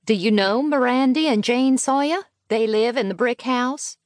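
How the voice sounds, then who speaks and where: A woman voicing a brisk, strict country mother in a storybook, plain-spoken, admonishing and sardonic. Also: fairly high pitch, no echo, studio quality, voice pushed up, natural voice. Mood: brisk, instructing.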